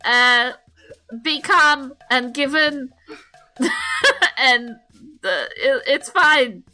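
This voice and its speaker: Bright-voiced woman, halting and giggly. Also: high voice, fairly even intonation, faint music behind, low-quality recording. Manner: halting, thinking aloud, giggly. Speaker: player in a tabletop RPG podcast. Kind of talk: casual improvised tabletop game chat.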